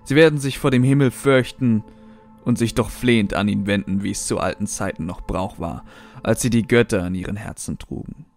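A man's voice: lowish voice, a little fast, faint music behind, close to an everyday voice. A man playing a fanatical scientist who preaches a new cosmic order in a solemn, grandiose way for a horror audio drama. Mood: exalted.

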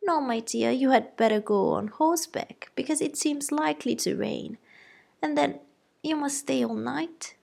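A woman voicing an excitable, fussy middle-aged mother who gushes eagerly in a storybook reading. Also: normal speed, gravelly, exaggerated voice. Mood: gushing. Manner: shrill, gushing, eager.

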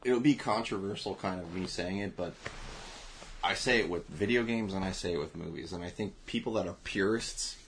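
A man's voice: deep voice, fairly quick, slight background noise. A man co-hosting a casual movie review podcast, swearing often and laughing as he talks. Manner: profane, laughing, reads reviews aloud. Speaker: podcast co-host.

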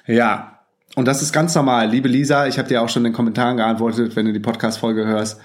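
A man with a cold talks through his podcast in a loose, excited way. His voice sits at an ordinary middle pitch. He uses plenty of slang and filler sounds. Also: a little fast. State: has a cold. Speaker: podcast host. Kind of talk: casual podcast monologue, reading out listener comments.

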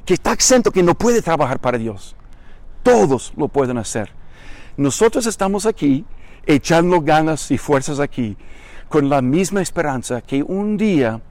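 A man's voice: fairly high pitch, dry recording. An older man, a pastor, with a Chilean Spanish accent mixed with Mexican, preaching emphatically to his congregation outdoors with rhetorical repetition. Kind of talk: recorded sermon greeting to a congregation. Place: outdoors on a vacant lot.

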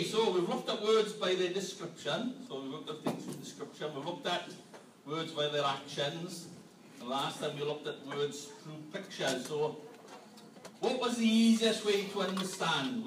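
A man's voice: on the high side, distant mic. A man leading an interactive family sermon in a church hall, playfully quizzing the congregation. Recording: echoey hall, mic from afar, room noise.

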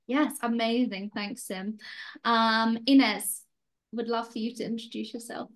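A woman's voice: fairly high pitch, steady tone, measured pace. A woman hosting a podcast about her retreat, chatty, effusive and grateful as she welcomes her guests. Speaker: podcast host and retreat leader.

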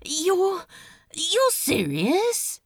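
A woman voicing a male demon, pleading frantically and rising to shouts as he confesses. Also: very high voice, huge pitch swings, drawn-out words, no room sound, clean studio sound, voice pushed up, full character voice. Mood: incredulous. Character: anguished, guilt-stricken male demon.

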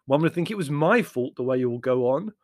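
A man's voice: mid-range voice, very animated, a little fast, gravelly, dry recording, clean studio sound, voice pushed up. A man voicing a witty, dismissive young man in a storybook reading, airy, mocking and irritable.

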